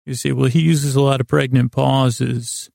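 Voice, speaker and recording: A man hosting a bedtime podcast in a rambling, halting monologue, gravelly and creaky, with long pauses, self-corrections and silly self-deprecation. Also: medium pitch, little pitch movement, dark tone, no echo, clean studio sound. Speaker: podcast host.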